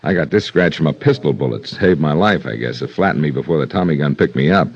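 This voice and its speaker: A man acting a plain-spoken, rueful highway police lieutenant in a 1950s radio drama, on a dull vintage recording. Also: expressive, fast, slightly rough, exaggerated voice. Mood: rueful, subdued.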